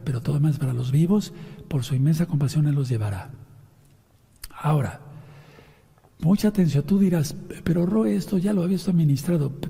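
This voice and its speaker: A man preaching and teaching from scripture in a hoarse voice, addressing his listeners directly with questions and instructions. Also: on the high side, mellow. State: hoarse voice from sinusitis after an operation. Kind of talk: sermon with scripture reading and commentary. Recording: long reverberant hall sound.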